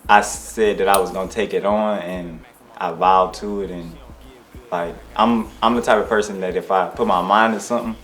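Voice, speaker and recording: A young man from Detroit, a rapper being interviewed, talking in a relaxed, rambling way with slang and restarts. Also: sits low, normal speed. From Detroit, USA. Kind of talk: casual interview.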